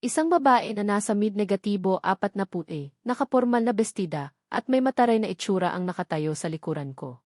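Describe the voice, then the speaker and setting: A woman's voice: mid-range voice, animated, brisk, darkish tone, dry recording, studio quality. A woman reading a scripted first-person dramatic story as its narrator.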